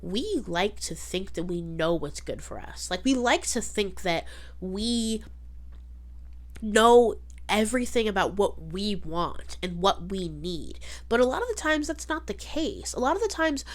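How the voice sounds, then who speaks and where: A woman's voice: mid-range voice, sing-song, a little slow. A young adult woman hosting a podcast solo, chatting casually and emphatically, often repeating words and saying "like". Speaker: podcast host.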